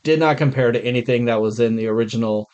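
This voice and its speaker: A man's voice: medium pitch, fast talker, darkish tone, smooth, dull audio. An American man co-hosting a podcast, casually reviewing films with blunt, jokey complaints. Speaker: podcast co-host. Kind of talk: casual film review chat.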